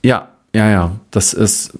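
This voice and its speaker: A man's voice: on the low side, takes it slowly, a little mellow. A man speaking as an interviewer in a casual, rambling conversation with a dryly ironic manner. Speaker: interviewer and reporter.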